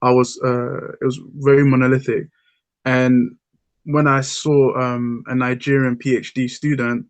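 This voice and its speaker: Host: man, even-toned, thoughtful, lots of ums, dry close sound. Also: mid-range voice, measured pace, dry recording, muffled recording. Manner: thoughtful, hesitant with many ums. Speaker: podcast host. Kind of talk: casual discussion.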